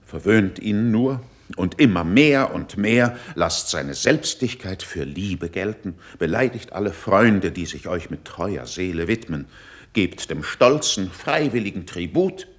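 An actor playing a proud older statesman in a classical radio verse drama, with measured, emphatic declamation. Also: low voice, lively intonation. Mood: reproachful, indignant. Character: proud, worldly older male statesman, critical yet controlled.

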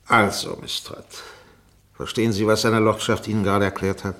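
An actor playing a shrewd, relentless defence barrister in a German radio play, formal and insistent. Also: male voice, fairly low pitch, flat delivery, normal speed, a bit bright, lightly acted. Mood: quiet, deliberate. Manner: formal, insistent, rhetorical repetition.

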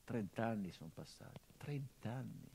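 A bitter middle-aged son carries this theatrical monologue in a mid-pitched voice. He sinks to hushed, grieving murmurs and then rises into impassioned, sardonic outbursts, his tone biting throughout. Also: expressive, measured pace, vocal fry, a little hiss or hum. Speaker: stage actor.

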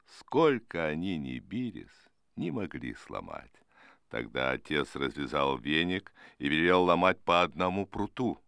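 Male fable narrator: deep, expressive, widely varied melody, dry studio sound. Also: unhurried, creaky, smooth, no room sound.

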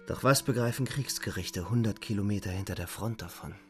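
An actor playing a young, weary front-line soldier who narrates a radio play in a sober, restrained, matter-of-fact way. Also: male voice, fairly low pitch, a little fast, natural voice. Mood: sober, resigned. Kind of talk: scripted radio drama narration. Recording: music faintly behind the voice. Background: music.

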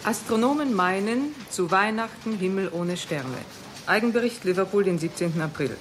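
A woman's voice: mid-range voice, animated, normal speed, natural voice. A woman playing a composed, official news announcer, reading a bulletin formally and precisely in a 1960s radio play. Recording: noisy vintage radio recording.

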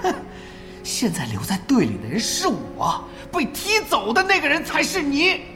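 A man's voice: extremely high pitch, wildly swooping pitch, normal speed, pushed and bright, exaggerated voice. An actor playing a spiteful, resentful male esports player in a radio drama, full of theatrical sarcasm and taunts. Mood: angry, resentful. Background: background music.

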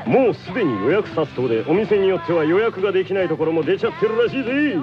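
Hyped, slick male DJ. Fast, swooping announcer patter with English flourishes, for comic radio drama. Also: sits high, expressive. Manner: exaggerated announcer patter sprinkled with English words. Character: hyped, slick, English-peppering radio DJ, over-familiar man. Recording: slightly dull audio with music behind.

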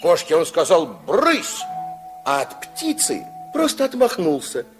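A man narrating a fairy-tale radio play from the 1960s in an expressive, theatrical way. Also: high voice, a little slow, faint music behind. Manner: theatrical, storytelling.

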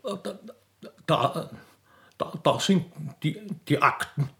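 A man's voice: a little high, takes it slowly, gravelly, pushed and bright, pitched above the performer's own voice, exaggerated voice. A man voicing a stammering rustic railway keeper with Austrian dialect in a radio play. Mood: flustered. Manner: stammering, repeating words.

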